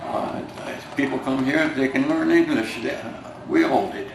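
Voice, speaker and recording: A man's voice: mid-range voice, measured pace, creaky. An older man with a Finnish-American accent, Finnish as his first language, reminiscing hesitantly in an oral history interview, with many fillers and restarts. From United States. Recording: roomy, distant, noisy home recording.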